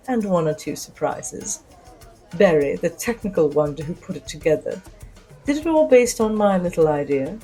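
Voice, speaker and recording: A woman playing a witty, self-assured spy and socialite, narrating her own adventure with arch, wry, theatrical pauses. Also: lowish voice, darkish tone, low-quality recording. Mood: playful, boastful. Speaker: narrator of an audio drama. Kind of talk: first-person scripted dramatic narration.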